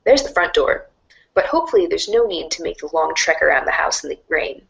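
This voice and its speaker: A woman voicing a wry, mock-grandiose storybook narrator in a dramatic read-along style, deadpan and sardonic. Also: sits low, fairly quick, vocal fry, poor audio. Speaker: narrator of a fan readers' theater show.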